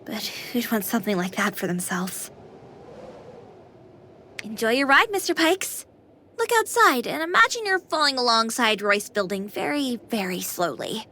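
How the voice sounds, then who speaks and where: A woman's voice: fairly high pitch, very animated, normal speed, pushed and bright, a little hiss or hum, exaggerated voice. A woman voicing a playful, caring female AI companion with a girlish anime persona in a video game, chirpy, teasing and polite. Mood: light, caring. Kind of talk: scripted video game voice acting. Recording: music behind the voice.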